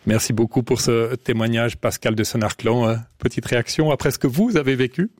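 A man hosts a radio call-in show and moves through the callers in a friendly, brisk way. His voice sits in a middle range, and the studio sound is dry and close. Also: medium pitch, darkish tone, dry recording. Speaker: radio host. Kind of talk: radio talk show hosting.

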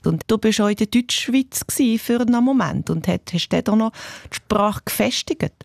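A woman's voice: fairly high pitch, expressive, moderate pace, vocal fry, a little mellow, dry recording, studio quality. A woman radio interviewer with a Swiss German accent, asking conversational questions.

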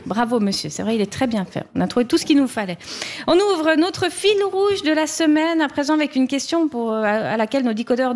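High-voiced woman hosting live radio. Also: darkish tone. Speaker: radio show host. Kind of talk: live presenting, conversational.

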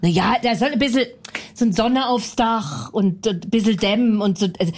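A brash woman with a fairly high voice rants and mocks in blunt comedic banter. She talks in a loud, pushy way and laughs often between her jabs. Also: moderate pace.

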